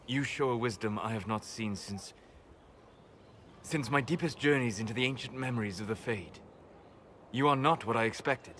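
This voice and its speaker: An actor playing a wise, melancholy elven mage in a video game, speaking in a measured, formal way. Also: male voice, mid-range voice, a bit bright, slight background noise, close to an everyday voice. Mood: thoughtful, searching. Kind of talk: dramatic video game acting.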